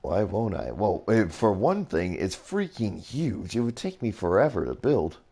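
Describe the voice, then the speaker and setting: A young man casually rambling through a game tour as a streamer, his voice a little raspy, often reacting with surprise. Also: fairly low pitch, big pitch swings, measured pace, dark tone. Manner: rambling, easily impressed, mild swearing. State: slightly raspy voice. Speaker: gaming streamer. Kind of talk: casual game commentary while touring builds.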